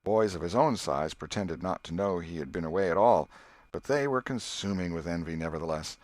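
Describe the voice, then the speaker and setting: A man's voice: low voice, brisk, no echo. A man reading a literary story as an audiobook narrator.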